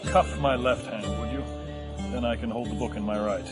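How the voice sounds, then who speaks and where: A man's voice: mid-range voice, steady tone, moderate pace, close to an everyday voice. A man playing a wry, pedantic, bookish convict in an audio horror drama, speaking in formal phrases. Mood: dry politeness. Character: erudite, wry, quotation-loving convict called the Professor. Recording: music behind, vehicle and outdoor sound effects.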